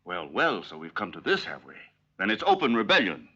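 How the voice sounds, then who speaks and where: A man's voice: low-pitched, huge pitch swings, a little fast, bright, forceful tone, slightly rough, exaggerated voice. An actor playing a stern, domineering officer and father in a western film, pompous and peremptory. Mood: indignant. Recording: dull old film soundtrack.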